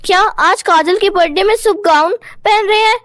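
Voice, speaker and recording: A woman's voice: extremely high pitch, moderate pace, a bit bright, no room sound, clean studio sound, pitched above the performer's own voice. A woman voicing a stubborn, pleading young wife who always feels cold, in cartoon moral-story dialogue. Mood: defiant.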